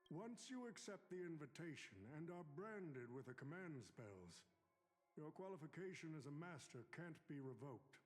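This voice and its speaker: An actor playing a sly, amused corporate boss in a video game, condescending and goading. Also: male voice, a little high, sing-song, moderate pace. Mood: matter-of-fact. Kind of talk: video game character dialogue.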